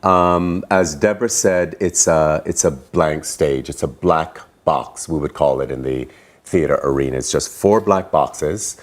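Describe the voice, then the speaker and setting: Actor guy, super deep voice, calm and thoughtful. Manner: measured, thoughtful explaining. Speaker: stage actor guest. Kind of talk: casual interview chat.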